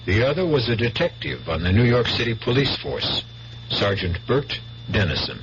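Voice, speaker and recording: An actor playing a grave, theatrical narrator of a 1970s radio mystery, portentous and measured in delivery. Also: male voice, medium pitch, sing-song, background noise. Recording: dull old radio broadcast. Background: music.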